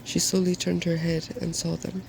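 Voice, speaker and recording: A soft-spoken man reading a fairy tale as an ASMR storyteller. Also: a little high, little pitch movement, normal speed, vocal fry, a little hiss or hum, poor audio.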